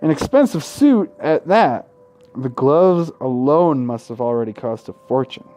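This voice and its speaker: A man's voice: mid-range voice, lively intonation, measured pace, dark tone. A man reading a visual novel aloud as a let's-play host, with casual, profane asides. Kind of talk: reading game text aloud with casual commentary.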